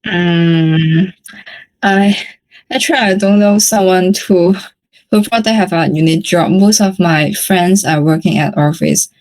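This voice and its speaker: A woman with a Hong Kong accent and a slightly low voice chats casually. A native Cantonese speaker, she is polite and a little hesitant as she practises conversation. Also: measured pace, darkish tone, low-quality recording. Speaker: English conversation practice participant. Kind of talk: casual chat.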